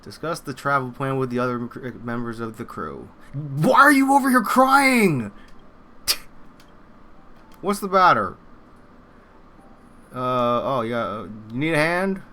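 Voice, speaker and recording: Game streamer, bright lively voice, casual joking commentary, swears freely, faint background noise. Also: medium pitch, slow, pauses often. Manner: foul-mouthed, joking.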